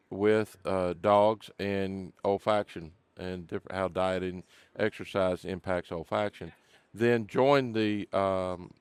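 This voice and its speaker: A man with a smooth, resonant, lowish voice, speaking calmly as a podcast co-host. He talks in an even, measured way. The sound is dry and close. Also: no room sound. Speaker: co-host, pet nutrition scientist. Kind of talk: casual chat.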